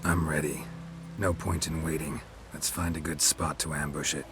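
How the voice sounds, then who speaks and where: An actor playing a gruff, terse monster hunter with a gravelly growl and dry, deadpan delivery in a video game. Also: male voice, a bass voice, moderate pace, over music. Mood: calm, terse.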